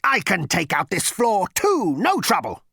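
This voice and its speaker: Huge dim blustering war robot. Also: male voice, a little high, huge pitch swings, vocal fry, bright, forceful tone, dry recording, clean studio sound, voice pushed up, exaggerated voice. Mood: blustering fury. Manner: bellowing, slow on the uptake. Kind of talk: audiobook character voice.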